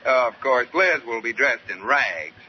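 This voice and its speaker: An actor plays a wry, stubborn but fond American husband in a vintage radio comedy. His mid-pitched voice leaps about expressively. The recording is muffled and clearly noisy. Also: moderate pace, lightly acted. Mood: deferential. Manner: animated, wry, exasperated. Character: exasperated, affectionate, stubborn husband in radio sitcom. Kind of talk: 1940s-50s radio comedy acting.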